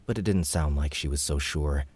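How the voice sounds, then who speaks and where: A man with an extremely deep, low voice reading an audiobook. His narration is measured and steady, paced for a listener following a story. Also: slightly rough, dry recording.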